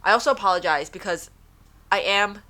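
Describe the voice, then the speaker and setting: A woman's voice: mid-range voice, brisk, pushed and bright. A woman hosting her own podcast from home, chatting casually and rambling, with a stuffy, nasal voice. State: stuffy nose. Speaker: podcast host. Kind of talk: casual chat.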